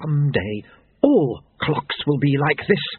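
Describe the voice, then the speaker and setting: Man as Jeremy, manic high-pitched pedantic clockmaker. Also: takes it slowly, slightly rough, no room sound, exaggerated voice. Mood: excited, pedantic. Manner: precise, excitable, over-rational. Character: Jeremy, fussy, manic, unhinged male clockmaker. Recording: thin phone-like band.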